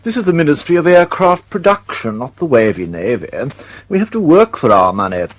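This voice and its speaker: Dithering, lively upper-class English bureaucrat. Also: male voice, fairly high pitch, a little fast. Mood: breezy, jovial. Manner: dithering, breezy, condescending. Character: flustered, dismissive, chummy upper-class male British bureaucrat. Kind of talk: radio drama acting.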